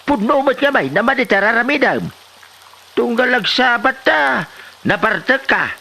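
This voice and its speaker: Man acting a lively, scolding village elder. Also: very high-pitched, moderate pace, vocal fry, full character voice. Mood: disapproving. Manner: scolding, lecturing. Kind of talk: Ilocano comedy drama acting.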